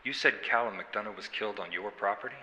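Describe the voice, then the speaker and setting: An actor playing a sardonic small-town homicide detective in an audio drama, needling a suspect in a folksy, deliberate, quietly menacing way. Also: male voice, lowish voice, in a large hall, a little hiss or hum, poor audio. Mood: folksy, probing. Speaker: police detective. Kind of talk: audio drama interrogation acting.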